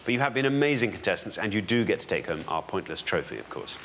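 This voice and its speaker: A British man presenting a television quiz show, deliberate in his delivery and building suspense as he goes. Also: low-pitched, very animated, gravelly, a bit bright, a little hiss or hum. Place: television studio.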